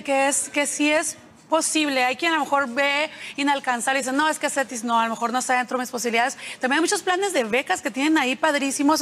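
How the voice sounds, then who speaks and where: A woman's voice: high-pitched, a bit bright. A woman hosts a live TV interview. Long reverb surrounds her in the studio. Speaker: TV show host. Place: indoor television studio.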